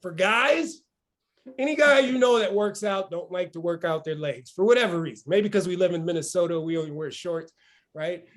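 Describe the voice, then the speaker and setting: A man's voice: high voice, normal speed. A man around fifty hosting a morning motivational session, full of energy and often checking in with "right?". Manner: energetic, keeps asking "right?". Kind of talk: motivational talk.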